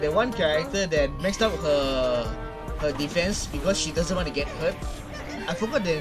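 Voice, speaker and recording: Halting, higher-pitched young man reacting. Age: young adult. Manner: halting, repeats phrases while thinking. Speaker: reaction video host. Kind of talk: casual live reaction commentary. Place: small bedroom. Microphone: headset boom microphone. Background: music from the video being watched.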